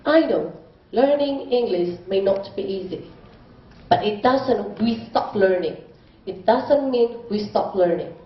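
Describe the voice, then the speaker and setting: Teen girl from Indonesia, Indonesian native, emphatic contest speech, dull muffled recording, reverberant room. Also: high-pitched. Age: teens. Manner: emphatic and declamatory in the speech, hesitant when answering. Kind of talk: prepared speech, then answering a judge. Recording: distant roomy hall mic, dull sound.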